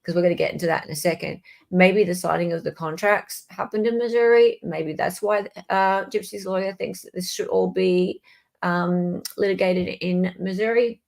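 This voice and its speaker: A woman's voice: fairly low pitch, steady tone, moderate pace, gravelly. A woman hosting a casual live legal commentary chat, talking informally and directly to her viewers. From Australia. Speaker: livestream host.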